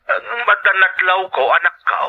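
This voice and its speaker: A thin telephone line carries an affectionate Filipino father played by a man, his voice a little high for a man. He is tender and worried about his grown daughter, in radio drama style. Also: fairly even intonation, moderate pace, vocal fry. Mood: tender, grateful. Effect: telephone filter. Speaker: drama actor. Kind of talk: Filipino audio drama acting.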